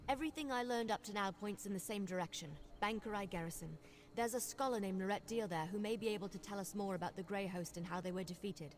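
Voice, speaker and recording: An actress voicing a brisk, wry young vampire investigator in a fantasy video game, speaking with conversational confidence. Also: female voice, medium pitch, a little hiss or hum, close to an everyday voice. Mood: matter-of-fact. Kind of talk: video game character dialogue.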